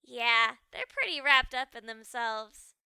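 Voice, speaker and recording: A friendly but easily startled storybook ghost, played by a woman reader. The voice is high and very bright, exclaiming theatrically with big swoops. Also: animated, takes it slowly, smooth, no room sound, full character voice. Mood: relieved, joking.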